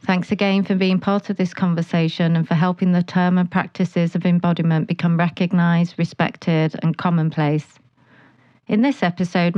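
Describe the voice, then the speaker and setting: A woman reading a scripted podcast introduction in an even, measured way. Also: lowish voice, smooth, no room sound. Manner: even, read-from-script delivery. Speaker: episode announcer. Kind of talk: scripted announcement. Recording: dry, slightly dull recording.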